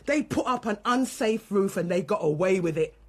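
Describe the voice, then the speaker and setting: Radio drama: woman playing bitter, bereaved mum and ex-prisoner in police interview, mid-pitched, defiant, ranting in blunt street talk. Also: lively intonation, moderate pace, close to an everyday voice. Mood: bitter, scornful. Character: angry, grieving mother under police questioning, ex-prisoner. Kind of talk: naturalistic radio drama acting.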